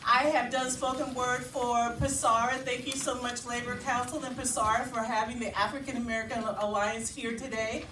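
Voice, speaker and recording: A woman spoken word poet with a loud voice performing live, rhythmic and emphatic, slowing down to lean on key phrases. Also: on the high side, level tone, background noise. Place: event hall at a labor office. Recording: echoey hall, voice heard from a distance.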